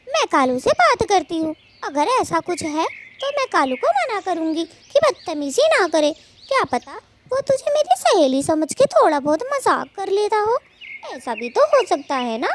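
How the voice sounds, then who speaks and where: Tiny high-pitched fiery female bird. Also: creaky, slight background noise, heavily acted. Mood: bossy, nagging. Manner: scolding, chatty, dramatic colloquial delivery. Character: fiery gossiping female cartoon bird, young, bossy, quick to threaten. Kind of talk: children's moral-story cartoon dubbing.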